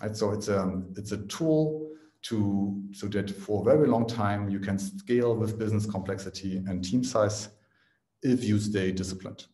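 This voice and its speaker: A man's voice: lowish voice, moderate pace, dark tone. A man in his forties speaking at a remote conference Q&A. He explains in a casual, technical way, often adds "right?", and restarts his sentences, talking into a headset at home. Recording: echoey room.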